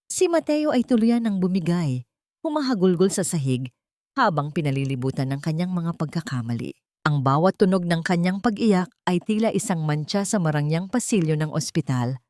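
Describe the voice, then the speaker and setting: Lady storyteller doing dramatic love story narration, lower voice, no room echo. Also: lively intonation, normal speed, a little mellow, clean studio sound.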